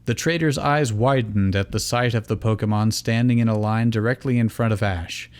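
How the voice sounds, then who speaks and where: A man's voice: lowish voice, moderate pace, darkish tone. A man reading fan fiction aloud as host, in story narration mixed with casual asides.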